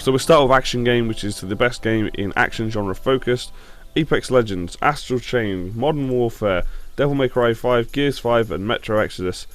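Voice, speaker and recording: Male gaming host, lowish voice, a bit croaky with a sore throat, informal and blunt, soft music behind. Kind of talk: casual opinion commentary on video games.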